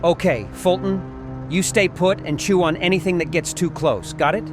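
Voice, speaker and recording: A man's voice: high voice, moderate pace, over music, lightly acted. A man playing a tough, terse army sergeant in an audio comic, barking clipped military commands. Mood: grim. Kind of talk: audio comic dub acting.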